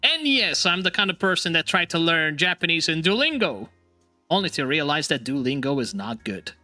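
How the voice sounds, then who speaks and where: Bright, high-voiced man chatting and laughing. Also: moderate pace, faint music behind. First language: not English. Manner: laughs often, animated and teasing. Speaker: streamer host. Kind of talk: casual chat.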